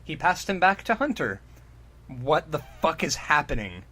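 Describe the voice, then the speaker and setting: A man's voice: on the high side, very animated, moderate pace, bright, forceful tone, a little hiss or hum. A man co-hosting a comic story reading, narrating dramatically and breaking into mocking, exclamatory, sweary asides. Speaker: podcast co-host and reader.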